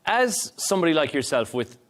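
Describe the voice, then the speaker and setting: A man's voice: on the high side, big pitch swings, brisk, fairly bright tone. A man presenting television news and interviewing guests as a studio anchor. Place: broadcast TV studio.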